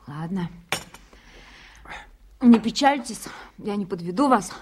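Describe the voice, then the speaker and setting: A woman's voice: mid-range voice, animated, slow pace, a little hiss or hum. An actress playing a playful, cheeky young girl student in a radio drama, exclaiming and teasing in a childlike way. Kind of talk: Soviet radio drama acting.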